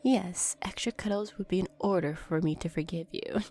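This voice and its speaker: A woman playing an affectionate, anxious vampire girlfriend in an intimate roleplay audio drama, talking in a soft, chatty way. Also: lowish voice, moderate pace. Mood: teasing, flustered. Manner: soft, chatty, sighing and giggling, breaking into whispers.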